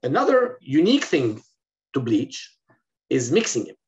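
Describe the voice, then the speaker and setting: A man's voice: medium pitch, huge pitch swings, unhurried. A middle-aged man from Lebanon giving a recorded medical lecture over a video call, explaining steadily with fillers and restarts. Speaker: physician lecturer. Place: indoor room, in front of a plain wall. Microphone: webcam on a video call. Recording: slightly dull, lo-fi video call audio.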